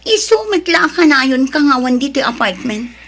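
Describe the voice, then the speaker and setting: A woman's voice: sits high, sing-song, normal speed, fairly bright tone. A woman with an Ilocano accent playing a rich man's mistress who asks anxious, defensive questions. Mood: worried. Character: young mistress of a rich married man, anxious. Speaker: voice actor in an audio drama. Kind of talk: Ilocano audio drama acting.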